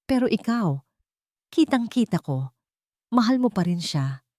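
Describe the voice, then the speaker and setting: A woman's voice: medium pitch, sing-song, a little slow, warm tone, dry recording, clean studio sound, voice pushed up. Woman voicing a remorseful, resigned Filipino man. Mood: remorseful resignation. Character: cowardly, remorseful young man giving up fatherhood.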